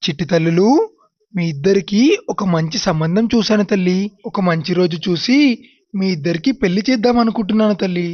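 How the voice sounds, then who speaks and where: A woman voicing a gentle, encouraging father in an animated moral story, reassuring and affectionate, in a slightly muffled recording. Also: on the low side, fairly quick, close to an everyday voice. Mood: proud, affectionate. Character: gentle, encouraging father figure full of faith.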